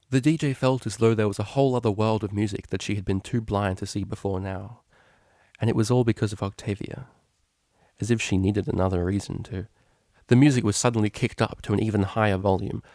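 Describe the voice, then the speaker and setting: A man narrates a story in a low voice with plenty of gravelly fry. He reads scripted prose, and the recording is very dry, with no room sound. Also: a little mellow, no room sound. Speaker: narrator, fan fiction reader.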